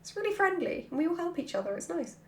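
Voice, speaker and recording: A woman chatting casually and playfully as she answers questions from her followers, with an animated manner. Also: extremely high pitch, fast, slight background noise. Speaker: writer hosting a Q&A. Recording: roomy, echoey sound.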